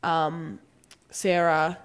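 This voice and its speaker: A woman's voice: low voice, flat delivery, clean tone. Young woman podcast co-host, sore throat, casual gossipy chat, swears a bit. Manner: chatty, swearing, quick asides.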